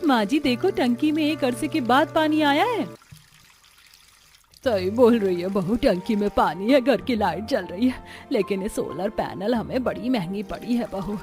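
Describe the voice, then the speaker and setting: Woman acting village housewife, high voice, earnest, plaintive, emphatic cartoon dialogue, music behind. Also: animated, unhurried, darkish tone. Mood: concerned. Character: village women, daughters-in-law and mothers-in-law, earnest and plaintive.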